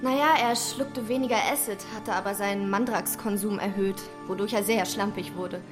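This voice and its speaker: A woman's voice: medium pitch, steady tone, moderate pace, over music, close to an everyday voice. A woman acting a matter-of-fact witness who recalls a troubled friend in a German radio play.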